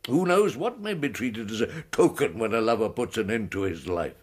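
An actor playing an elderly, courtly invalid professor in a radio drama, British, wheezy and halting, with ornate phrasing. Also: male voice, lowish voice, lively intonation, slightly rough. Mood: sly, musing. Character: elderly invalid scholar, courtly, self-pitying, secretly scornful.

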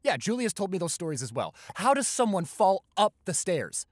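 A man's voice: high voice, big pitch swings, normal speed, no echo, studio quality, pitched above the performer's own voice. A young American man playing a playful, caring college-age boyfriend in improvised roleplay audio, teasing and reassuring with hesitant stammers. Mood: mock defensive. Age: young adult.

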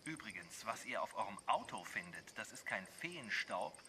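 An actor playing a flippant, smooth-talking local radio DJ in a German audio drama. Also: male voice, lowish voice, big pitch swings, moderate pace, bright, forceful tone, a little hiss or hum, heavily acted. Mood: playful, flirty.

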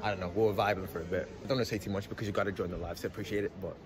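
A man's voice: deep voice, fairly even intonation, racing, a crowd in the background. A young man with a Scouse accent, chatting casually to his phone camera while travelling through an airport and a hotel. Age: young adult. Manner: chatty, talks to camera on the move. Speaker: vlogger. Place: airport, plane and hotel, indoors. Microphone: handheld phone at arm's length.

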